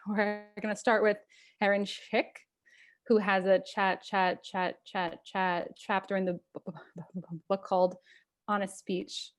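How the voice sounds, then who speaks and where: Woman hosting with frequent stuttering. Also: medium pitch. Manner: stutters often on word starts. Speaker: event host. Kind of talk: welcoming speakers at an online event. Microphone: video call.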